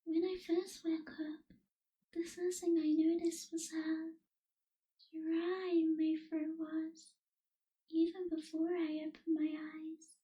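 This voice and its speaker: Casting: actress for melancholy young female vampire, ASMR roleplay; very high, mellow, breathy whisper, wistful and hushed. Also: level tone, poor audio. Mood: melancholy, reflective. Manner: slow, hushed, wistful, gentle pauses. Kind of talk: ASMR roleplay, soft whispered intimate delivery.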